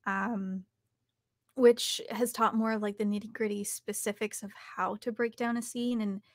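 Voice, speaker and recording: A young adult woman speaking thoughtfully and haltingly as she answers interview questions. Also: mid-range voice, fairly even intonation, no room sound, clean studio sound. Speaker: actor and audiobook narrator, guest. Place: home recording studio, video call.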